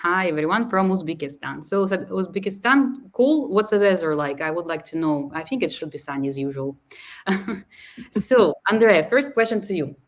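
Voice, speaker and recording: Chatty lady host, fairly low voice, laughing a lot, reading comments. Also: expressive, moderate pace. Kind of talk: casual hosting of an online live session.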